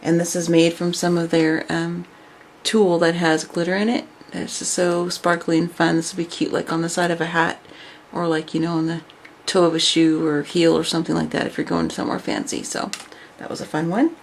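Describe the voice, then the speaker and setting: Low gravelly lady showing off crafts, kinda hoarse, lots of "um" and "like", bit of hiss. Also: little pitch movement, brisk. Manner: chatty, filler-heavy, enthusiastic. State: losing her voice, raspy. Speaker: craft vlogger. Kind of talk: casual show-and-tell.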